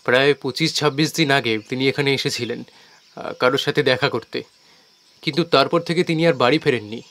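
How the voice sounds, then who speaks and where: Man playing a polite, mid-pitched earnest visitor. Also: a little fast, a bit bright, close to an everyday voice. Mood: polite, concerned. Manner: polite, hesitant, plain-spoken. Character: polite, earnest man searching for a missing person. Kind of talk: audio story dramatized dialogue.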